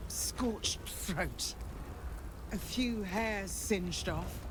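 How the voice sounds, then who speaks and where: An actress playing a dignified, resolute city councillor in a video game, speaking formally and with measured gravity. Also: female voice, mid-range voice. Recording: game audio with clear background noise.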